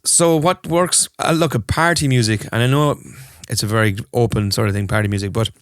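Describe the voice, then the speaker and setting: A man's voice: medium pitch, fairly quick, dry recording, clean studio sound. An Irish man, a wedding band musician, chatting casually and colloquially as an interview guest, often acting out bits of imagined conversation. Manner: colloquial, acts out imagined exchanges with clients. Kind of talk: casual chat.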